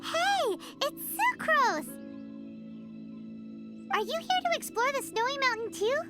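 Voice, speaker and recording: A woman's voice: extremely high pitch, sing-song, takes it slowly, loud music behind the voice, exaggerated voice. A woman voicing a tiny, perky, nosy fairy companion in a video game, exclamatory and childlike. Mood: excited. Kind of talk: video game character dialogue.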